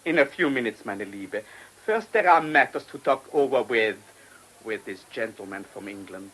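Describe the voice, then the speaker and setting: An actor playing a proud, controlled middle-aged cafe pianist in a radio play, speaking in a measured, haughty way. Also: male voice, on the low side, expressive, a bit bright, slight background noise. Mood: guarded, firm. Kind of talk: radio drama acting.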